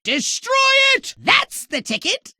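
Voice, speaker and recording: A man voicing a pompous, short-tempered alien commander in a video game, haughty and precisely worded, with a nasal, sharp-edged delivery. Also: extremely high pitch, huge pitch swings, slow, no room sound, studio quality, exaggerated voice. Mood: impatient, commanding. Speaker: mission commander giving orders. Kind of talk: theatrical video game voice acting.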